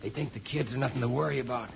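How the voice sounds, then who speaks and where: An actor playing a grumbling, wisecracking, folksy sidekick in an old-time radio space serial. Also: male voice, medium pitch, quick pace, crowd noise. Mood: urgent, gruff talk. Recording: muffled old radio recording, clear hiss.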